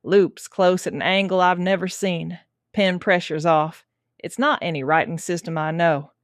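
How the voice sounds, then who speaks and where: A woman acts as a tough lawwoman in a sci-fi audio drama. Her voice sits fairly low and very dry, and she talks bluntly, with a wry, questioning edge. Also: steady tone, normal speed, dry recording, close to an everyday voice. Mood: businesslike. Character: tough, blunt female Texas Ranger with hidden vulnerability.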